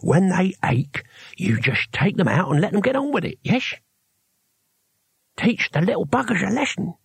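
Man playing toothless old barbarian hero, gravelly and grumbling. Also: on the high side, expressive, unhurried, no room sound, exaggerated voice. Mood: awed, gleeful. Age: older. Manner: colloquial, sarcastic, grumbling.

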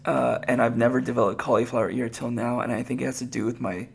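A man with a hoarse, raspy voice, hosting a podcast and chatting casually on his own, rambling with lots of fillers and restarts. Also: mid-range voice, steady tone, fast talker. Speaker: podcast host. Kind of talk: casual solo podcast talk.

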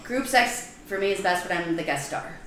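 A woman's voice: on the low side, expressive, fairly bright tone, echoey room. Brash Chicago woman in her thirties. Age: 30s. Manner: brash, crude joking, quick laughs. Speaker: podcast guest, comedian. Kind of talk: casual podcast chat.

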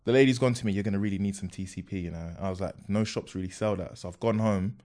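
A man, a podcast guest telling quick, slangy stories in casual chat. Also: dark tone. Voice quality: deep voice.

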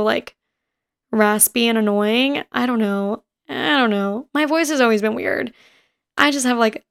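A woman in her twenties with a raspy voice, hosting a casual podcast and rambling quickly through personal stories. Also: on the high side. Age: 20s. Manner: fast-talking and rambling. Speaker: podcast host. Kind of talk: casual chat. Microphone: home recording setup.

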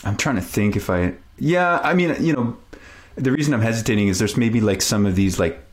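Low-voiced man, reflective interview guest. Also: lively intonation, fairly quick. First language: French. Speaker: podcast guest. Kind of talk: casual interview chat.

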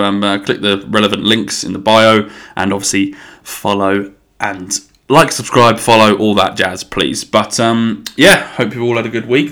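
A man's voice: on the low side, fairly bright tone. A man hosting his own podcast in casual solo chat, rambling and swearing freely, sounding a little bunged up. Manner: rambling, swears casually, many ums and restarts. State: a bit bunged up. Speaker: podcast host.

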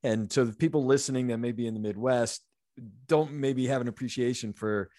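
A man's voice: medium pitch, brisk, mellow, no echo. Guy late 50s, slight boston accent, podcast cohost chatting, dry close recording. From Massachusetts, United States. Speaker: podcast co-host, interviewer. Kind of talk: casual conversational interview.

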